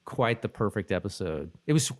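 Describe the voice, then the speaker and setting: A man's voice: on the low side, sing-song, fairly quick. A man co-hosting a podcast, chatting informally about TV episodes in a rambling, slangy way. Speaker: podcast co-host. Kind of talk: casual TV episode recap chat.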